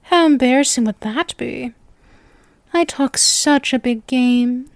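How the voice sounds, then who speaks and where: Girl voicing a clingy lovesick witch, high sugary voice, takes her time, coy n smug, asmr roleplay. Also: takes it slowly, dry recording. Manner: coy, teasing, sugary with menacing devotion. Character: sweet, possessive young witch, smugly lovestruck and clingy. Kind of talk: ASMR roleplay acting addressed to the listener.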